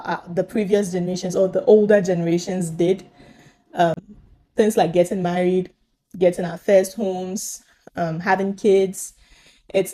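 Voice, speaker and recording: A millennial woman hosting a conversational video commentary, speaking haltingly and putting questions to viewers. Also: fairly low pitch, mellow. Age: young adult to middle-aged, millennial. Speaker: host.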